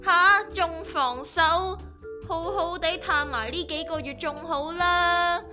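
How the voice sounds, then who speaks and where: A woman's voice: extremely high pitch, slow, over music. An actress with a Hong Kong accent playing a cheeky, easily alarmed young woman in a comedic radio drama, playful and exclaiming.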